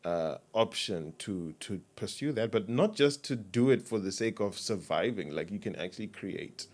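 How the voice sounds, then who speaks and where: A man from Zimbabwe, a sound engineer, talking as a podcast guest in a reflective, measured way in a studio. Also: lowish voice, lively intonation. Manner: reflective, measured, trails off softly. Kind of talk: casual interview chat.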